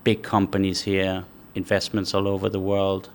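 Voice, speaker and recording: A man from Denmark, a company founder answering interview questions in a measured way, thinking aloud with frequent restarts. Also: sits low, monotone, a little hiss or hum.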